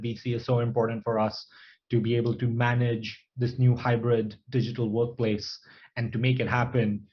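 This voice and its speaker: A man's voice: medium pitch, highs cut off. A man moderating a webinar panel, casually putting questions to the guests from a client's point of view.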